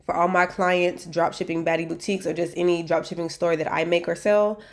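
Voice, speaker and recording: A woman's voice: on the low side, little pitch movement, brisk. A woman with a stuffy, stopped-up nose from allergies, chatting loosely through a walkthrough of online store vendors with lots of fillers and restarts. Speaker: dropshipping store maker reviewing vendors. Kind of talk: casual screen-share walkthrough.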